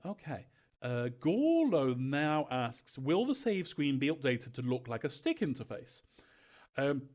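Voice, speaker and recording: A man answering fan questions in an informal live Q&A, thinking aloud in a halting, rambling way, as a developer. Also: medium pitch, highs cut off.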